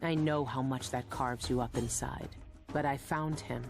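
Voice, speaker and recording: A woman's voice: a bass voice, fairly even intonation, measured pace, gravelly, exaggerated voice. A woman playing a weary, guilt-ridden former assassin in a video game, introspective and clipped over music. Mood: somber. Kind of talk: brooding video game narration and dialogue.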